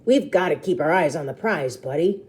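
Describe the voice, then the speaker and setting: A woman's voice: low-pitched, animated, normal speed, a little mellow. A woman voicing a nasty, bullying man in a storybook, with a mocking, emphatic, sarcastic delivery. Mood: sneering, sarcastic.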